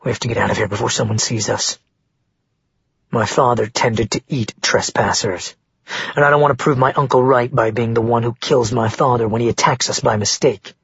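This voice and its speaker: A man's voice: medium pitch, fairly even intonation, brisk, vocal fry, a bit bright, slightly rough, no room sound, muffled recording, heavily acted. A man voicing a tense young man who speaks bluntly and urgently, in an audiobook reading. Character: young tiger-shifter man, tense and guarded.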